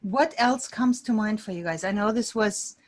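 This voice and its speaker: A woman's voice: medium pitch, normal speed. A woman hosting an online group coaching session, talking casually to the participants over a video call.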